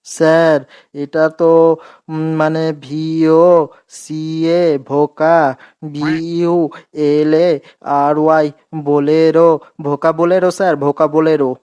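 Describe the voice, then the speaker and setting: Eager cartoon schoolboy voiced by a man, slow and careful, clean smooth tone. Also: fairly high pitch, flat delivery, a little mellow, lo-fi sound. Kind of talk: comic cartoon dialogue.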